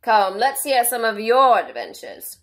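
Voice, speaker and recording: A woman's voice: fairly high pitch, big pitch swings, measured pace, a bit bright, voice pushed up, exaggerated voice. A woman voicing a solemn, brusque, impatient male Gryphon, a know-it-all creature from a storybook.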